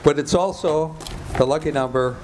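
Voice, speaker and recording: A man's voice: fairly high pitch, moderate pace, a little mellow. A man making casual announcements from the stage as master of ceremonies of a live show. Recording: noisy live venue with clicks.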